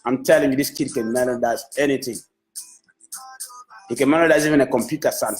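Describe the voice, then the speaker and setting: A man's voice: medium pitch, brisk, low-quality recording. A man whose first language is Swahili, a music manager talking on a Facebook live, enthusiastic and repetitive, restarting his phrases as he praises an artist. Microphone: phone.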